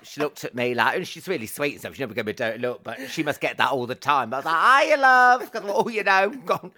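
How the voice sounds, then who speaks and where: A man hosting a podcast, telling stories in a camp, animated way with frequent laughs and swearing. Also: a little high, fast talker. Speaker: podcast host. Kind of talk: casual chat.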